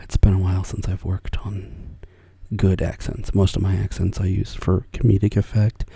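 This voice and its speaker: An older man talks in a hushed, whispering ASMR chat with a low, gravelly, deeply husky voice. His tone is dark and mellow, and the sound is close and dry, with no room around it. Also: level tone, moderate pace, no echo. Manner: whispering, soft-spoken, rambling. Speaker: ASMR artist. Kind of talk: soft-spoken ASMR chat.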